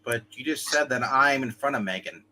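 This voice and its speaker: A man's voice: medium pitch, fairly quick, pushed and bright, rough recording. A man playing a tabletop game, chatting and bantering casually with the group.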